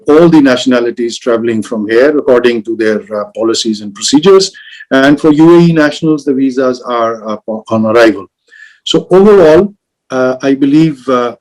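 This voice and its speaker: A man moderating an online travel industry panel on a video call, halting with many fillers and restarts. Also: medium pitch, darkish tone, rough recording.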